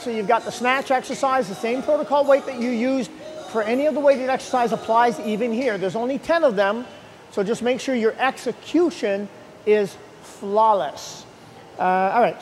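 Energetic man coaching a workout. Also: sits high. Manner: energetic, encouraging, loose and unscripted. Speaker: gym owner and fitness coach. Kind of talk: workout instruction to camera. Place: large indoor gym. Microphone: lapel mic.

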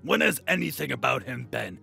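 An actor playing a brash, irreverent armoured warrior in a fantasy audio drama, blustery and colloquial. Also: male voice, mid-range voice, expressive, fairly quick, vocal fry, pushed and bright, faint music behind, exaggerated voice. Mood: teasing, puzzled.

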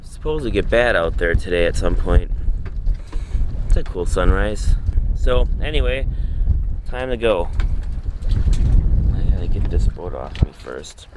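A middle-aged man filming himself on a sailboat and a marina dock, talking in a relaxed, rambling way as he thinks aloud. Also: sits low, measured pace, long pauses. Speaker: solo sailor vlogger. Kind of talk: casual self-filmed vlog. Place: outdoors on a sailboat and a marina dock in strong wind. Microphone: handheld camera close to the face. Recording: wind noise on a camera mic. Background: howling wind and water.

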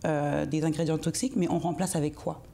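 Earnest young woman, mellow low voice. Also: steady tone, brisk, creaky. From France. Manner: earnest, explanatory, with many fillers. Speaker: guest, cosmetics entrepreneur. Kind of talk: interview answers. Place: TV studio.

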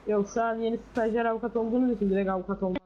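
A man's voice: very high voice, steady tone, rapid-fire, warm tone, dull audio. A man explaining things casually as a player in an online roleplay game, over voice chat.

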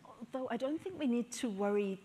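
A woman's voice: fairly high pitch, animated, a little fast, warm tone, slightly rough. A woman speaking as a panelist on a stage through a lapel mic, assured and pointed. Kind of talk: panel discussion. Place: stage in front of a backdrop.

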